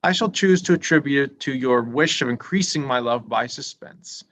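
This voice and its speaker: A man's voice: mid-range voice, moderate pace, a bit bright, lightly acted. An actor playing a pompous, self-important young clergyman in a staged reading of a period drama, speaking formally and at length. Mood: complacent, undeterred. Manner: pompous, long-winded, formal.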